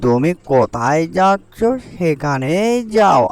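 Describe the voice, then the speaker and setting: A man's voice: fairly high pitch, animated, takes it slowly, smooth. A man voicing a rude, quarrelsome cartoon crow with a taunting, scolding manner. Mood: mocking, irritated. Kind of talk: cartoon character dialogue.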